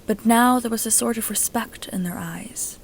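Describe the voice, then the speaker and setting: A woman's voice: fairly high pitch, normal speed, creaky, slight background noise. A woman reading a scripted story aloud as an amateur narrator.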